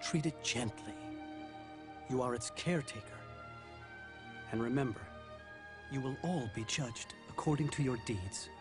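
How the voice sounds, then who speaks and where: A man giving dramatic scripted narration for an animated religious story, in an earnest and reverent manner. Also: mid-range voice. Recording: background music under the voice.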